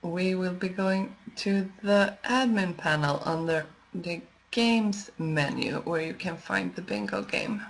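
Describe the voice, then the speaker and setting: Female tutorial presenter, slightly low voice, slow and measured, explaining software steps with working pauses. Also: animated, vocal fry. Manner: measured, explanatory, pausing as she works. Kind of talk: unscripted step-by-step screen tutorial narration.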